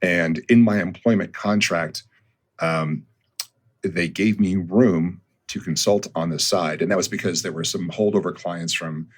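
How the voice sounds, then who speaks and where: A man's voice: low voice, fairly even intonation, measured pace. A man in his fifties, a business founder talking through his thinking as a podcast guest, with frequent ums and restarts. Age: 50s. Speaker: guest, consulting firm founder. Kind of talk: conversational podcast interview.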